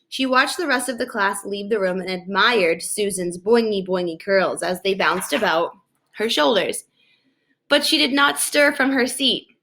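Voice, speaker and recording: A woman narrating a children's book aloud in an expressive storytelling style. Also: medium pitch. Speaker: storybook reader.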